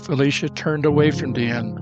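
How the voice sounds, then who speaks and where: A man narrating an audio drama in a measured, dramatic storytelling manner. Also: a little high, fairly even intonation, lo-fi sound, over music.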